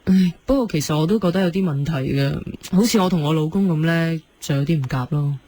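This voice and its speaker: A woman's voice: low-pitched, fast talker, warm tone. A woman playing an unhappily married, curious and wistful wife in a radio drama, speaking hesitantly with a Hong Kong Cantonese accent. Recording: radio drama with music underneath.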